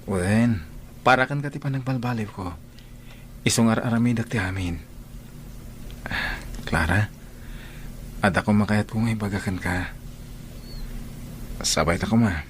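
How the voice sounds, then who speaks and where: Low-voiced, earnest young Filipino suitor, acted by a man. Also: a little slow, long pauses, a little hiss or hum, close to an everyday voice. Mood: earnest, hopeful. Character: earnest young male suitor courting his sweetheart. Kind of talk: Filipino radio drama acting.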